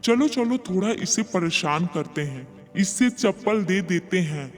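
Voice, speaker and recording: A man's voice: high-pitched, lively intonation, unhurried. A man voicing storybook characters in a Hindi cartoon moral tale. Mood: mocking. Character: earnest male storybook characters: villagers, sage, reporter. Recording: long reverb, music behind.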